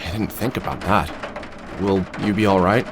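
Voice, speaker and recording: A man's voice: sits low, steady tone, normal speed, background noise. A man playing a wry, weary middle-aged man in a video game, talking in a dry, sardonic, casual way. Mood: concerned.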